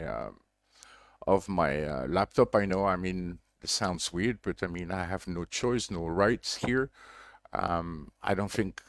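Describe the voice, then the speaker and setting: A man's voice: low voice, dry recording, studio quality. A man from Belgium, a diver running a reef conservation project, tells a long story in a casual livestream update, rambling with lots of fillers and restarts.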